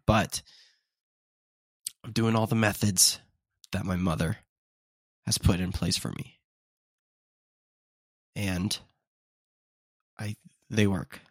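A man's voice: lowish voice, vocal fry, no room sound, clean studio sound. A young man hosting a casual solo podcast, sounding congested and sniffly as he chats in a rambling, self-deprecating way. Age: young adult. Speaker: podcast host.

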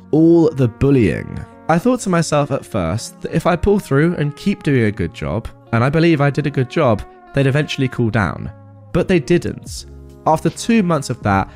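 A man hosting a storytime podcast, reading a story aloud in a relaxed first-person telling. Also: medium pitch. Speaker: podcast host reading stories. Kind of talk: storytime narration.

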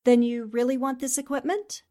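A woman's voice: sits high, fairly even intonation, moderate pace, mellow, natural voice. An actress playing a determined, poised and polite newspaperwoman in a 1940s radio drama. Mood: probing, pointed.

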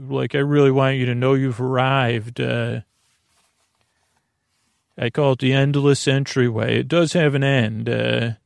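A man hosting a bedtime podcast in a meandering, halting monologue that drifts off on tangents. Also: medium pitch, no echo, studio quality. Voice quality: creaky, gravelly. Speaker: podcast host.

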